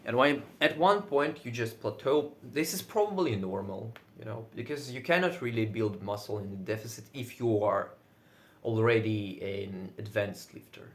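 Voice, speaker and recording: A man whose first language is Russian, speaking English with a Russian accent in casual solo talk, now and then pausing to search for words and swearing lightly. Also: on the low side. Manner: says he is loud most of the time. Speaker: video creator.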